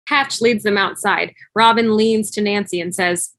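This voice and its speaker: A woman's voice: mid-range voice, steady tone, moderate pace, fairly bright tone, dry recording. A woman reading stage directions as scripted narration in an online table read over a video call.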